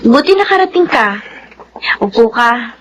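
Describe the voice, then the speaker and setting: An actress playing a young, lovestruck daughter in a Filipino radio drama, earnest and emotional. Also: female voice, on the high side, animated, measured pace, a bit bright, soft background music, low-quality recording. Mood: coy. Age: young adult.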